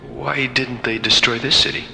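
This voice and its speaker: Sharp, incredulous space crewman. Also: medium pitch, steady tone, normal speed, gravelly. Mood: amazed, questioning. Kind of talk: old-time radio science fiction acting. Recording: long reverb, faint music behind.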